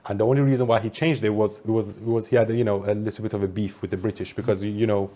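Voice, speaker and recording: Iran-born commentator, 30s, lower voice, earnest and fluent as an interviewee. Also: male voice, steady tone, very fast, dark tone. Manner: earnest, fluent, talks at length. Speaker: political commentator. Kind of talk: interview answers.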